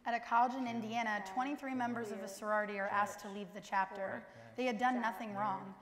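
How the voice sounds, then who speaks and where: A woman's voice: fairly high pitch, fairly even intonation, quick pace, a crowd in the background. Emphatic young woman in a very echoey hall. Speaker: student stage performer. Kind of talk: scripted readers theater recitation. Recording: very echoey hall, audience noise behind.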